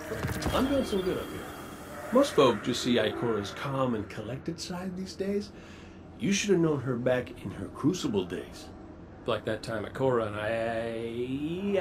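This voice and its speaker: A man acts out a chatty, cocky adventurer from a video game. His pitch jumps around as he rambles in a playful, informal way. Noticeable background noise surrounds him. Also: very animated, moderate pace, lightly acted. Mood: playful, rambling. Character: jokey, chatty male adventurer, cocky but sincere. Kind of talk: video game character dialogue. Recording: clear background noise and ambience.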